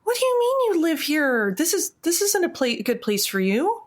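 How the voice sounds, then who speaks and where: A woman's voice: very high voice, sing-song, normal speed, pitched above the performer's own voice. A woman voicing a nervous, earnest, awkward young woman in a tabletop game, stammering and repeating herself.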